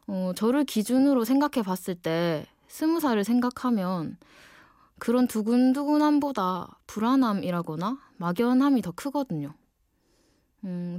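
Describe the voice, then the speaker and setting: A woman's voice: medium pitch, a little mellow, no room sound. Young Korean woman with Gyeongsang accent, dry sound. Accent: Gyeongsang (Ulsan) Korean. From Ulsan, South Korea. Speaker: guest late-night radio DJ, design student. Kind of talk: personal radio monologue between songs.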